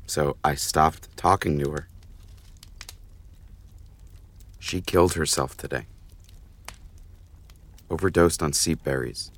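Guilt-ridden nobleman, low-pitched, raspy-edged, earnest, fantasy radio play. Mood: grieving, guilty. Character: brooding, guilt-ridden nobleman of a ruling family. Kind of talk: dystopian fantasy audio drama acting.

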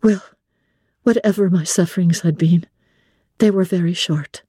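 A woman reading classic literature as a dramatic scripted narration, in a measured, expressive, literary manner. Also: on the low side, husky, no echo, studio quality. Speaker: audiobook narrator.

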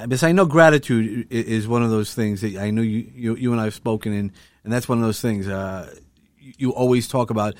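A man hosts the interview, warmly affirming his guest and asking long questions. He stumbles and restarts his words often. His voice has a medium pitch and sounds dry, with no room echo. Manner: affirming, halting with many restarts.

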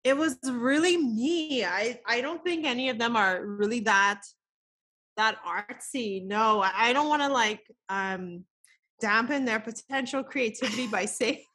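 A woman in her thirties talks as a podcast guest, her voice a little high and ringing bright. She chats easily, laughs a lot and leans on casual fillers. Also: a little slow. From the Bahamas. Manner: chatty, laughs often, lots of filler words. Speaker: guest artist.

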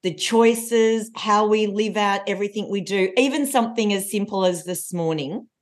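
A woman, a coach telling stories in a podcast interview with a loud, lively voice. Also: medium pitch, moderate pace. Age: 40s. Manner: lively storyteller. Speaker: coach and trainer.

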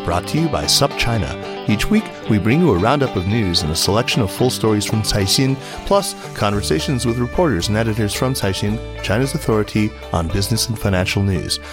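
A man's voice: fairly low pitch, lively intonation, brisk, hardly any pauses, over music. A man hosting a podcast, reading a scripted business news roundup. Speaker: podcast host.

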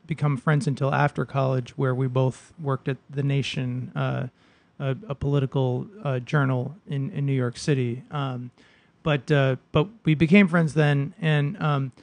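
A man's voice: medium pitch, gravelly, a little mellow, dry recording. A man in his thirties hosting an advice podcast, chatty and loose, with lots of fillers. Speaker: podcast host. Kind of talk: casual conversational interviewing.

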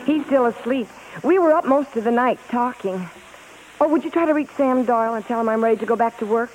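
A woman's voice: a little high, fairly quick, darkish tone, lightly acted. A woman playing a devoted, encouraging stage-singer wife, coaxing and spirited, with an American accent in 1950s radio drama style. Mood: happy. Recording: hissy, crackly old radio broadcast.

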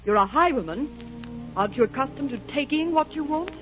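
A woman's voice: high voice, lively intonation, fairly quick. Spirited, swooping young woman, old radio. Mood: bold, teasing. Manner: teasing, theatrical. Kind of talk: 1940s radio drama acting. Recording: muffled old 1940s radio recording, music.

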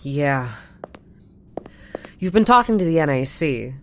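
A woman's voice: low-pitched, big pitch swings, measured pace, a little hiss or hum. A woman acting an anxious, overwhelmed woman in a sci-fi audio drama, hesitant and stammering over repeated words.

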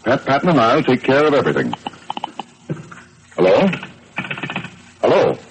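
An actor playing a steady, courteous police detective with authority in an old-time radio drama. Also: male voice, medium pitch, normal speed, a little hiss or hum, rough recording. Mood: calm, questioning. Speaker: police inspector.

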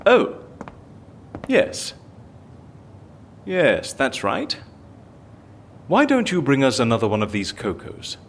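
A man's voice: medium pitch, huge pitch swings, slow, pauses often, a little hiss or hum, full character voice. A man playing a curious, eager and politely insistent visitor to Venice in a naturalistic audio drama. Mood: surprised, eager.

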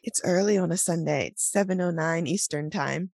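A woman, co-hosting a casual morning podcast chat in a relaxed, meandering way, her voice still sleepy. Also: on the low side, normal speed, gravelly, dry recording, faint music behind. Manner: relaxed, laughs often, meandering. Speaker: podcast co-host.

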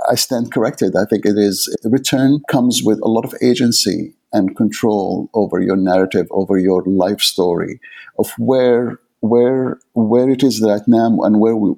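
Gravelly, low-voiced Arabic-speaking man. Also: dark tone. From Middle East. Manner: thoughtful, often restarts and repeats words while searching for phrasing. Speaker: author being interviewed. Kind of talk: reflective conversational interview.

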